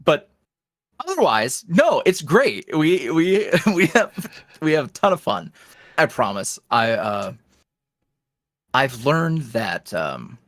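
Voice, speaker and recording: A man's voice: fairly high pitch, very animated, slow, pauses often, fairly bright tone, dry recording, clean studio sound. A man chatting casually as a podcast guest, in a folksy, self-deprecating and jokey manner. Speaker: interview guest, online audio roleplay creator.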